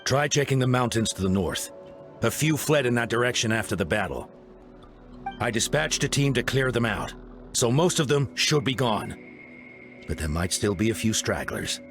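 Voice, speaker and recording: A man playing a calm, caring military commander in an anime-style video game, measured and authoritative. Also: medium pitch. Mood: composed. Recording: music behind the voice.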